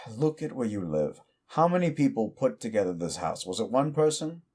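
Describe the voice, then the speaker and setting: A man voicing an ancient, petty male demon who mocks a mortal in a slow, deep drawl, in audio roleplay. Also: sing-song, a little mellow. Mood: taunting.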